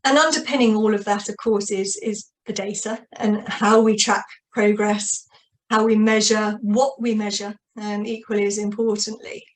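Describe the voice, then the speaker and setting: Woman hosting a webinar, upbeat but pretty flat pitch, lots of ums. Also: moderate pace, low-quality recording. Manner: upbeat, many fillers and restarts. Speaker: webinar host. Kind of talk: opening remarks.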